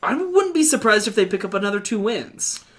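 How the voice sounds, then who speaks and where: High-voiced man talking esports. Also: very animated, a bit bright. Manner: quick, rambling, with restarts and swearing. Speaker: esports podcast host. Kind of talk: casual esports analysis chat.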